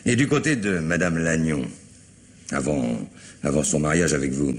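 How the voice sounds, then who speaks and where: A man's voice: very deep voice, moderate pace. An actor playing a middle-aged police commissioner in a French radio mystery, polite, methodical and insistent as he questions. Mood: probing. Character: persistent, probing police commissioner questioning a family.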